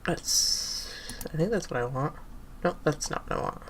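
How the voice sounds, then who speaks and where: A woman's voice: deep voice, lively intonation, moderate pace, slight background noise. A young woman with a stuffy, nasal voice from allergies, rambling casually through gameplay commentary and correcting herself as she thinks aloud. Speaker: gaming channel host.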